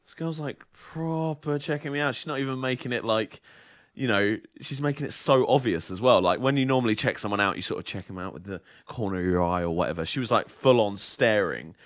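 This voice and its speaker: A man's voice: fairly low pitch, a little fast, few pauses. Rambling young Englishman telling stories. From southern England. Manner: rambling storyteller, many fillers and restarts. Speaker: podcast co-host. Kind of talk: casual chat.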